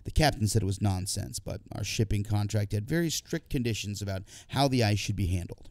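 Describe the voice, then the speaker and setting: Low, mellow male audiobook narrator. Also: brisk, no echo, clean studio sound. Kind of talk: scripted first-person literary narration.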